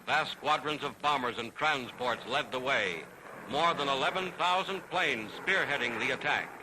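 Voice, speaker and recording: A man's voice: mid-range voice, heavy background noise. A man narrating a 1940s newsreel in a dramatic, solemn, declamatory manner, on an archival soundtrack. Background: loud orchestral music.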